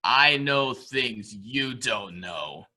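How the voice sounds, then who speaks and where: A man's voice: mid-range voice, animated, extremely slow, sharp tone, exaggerated voice. A man playing a childlike, dangerously unhinged killer in a murder mystery drama, boasting in a sing-song way with repeated phrases. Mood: smug, secretive. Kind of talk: amateur murder mystery audio drama acting.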